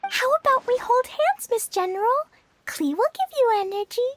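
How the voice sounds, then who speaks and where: An innocent little girl mage from a video game, bursting with eager energy. The voice sits extremely high and bounces up and down in simple, childlike phrases. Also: very animated, moderate pace. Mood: cheerful, eager. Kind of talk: video game cutscene acting.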